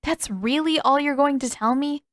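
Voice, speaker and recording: Woman as female pupil, teasing, grudging, curious, slightly higher pitch, dry audiobook dialogue. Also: moderate pace, a bit bright, dry recording.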